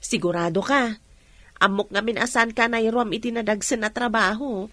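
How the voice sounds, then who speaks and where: A woman's voice: a little high, steady tone, normal speed, a bit bright, dry recording, close to an everyday voice. An actress playing a caring young woman, a loyal best friend with an Ilocano accent, coaxing and chiding expressively in a radio drama. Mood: concerned, admiring.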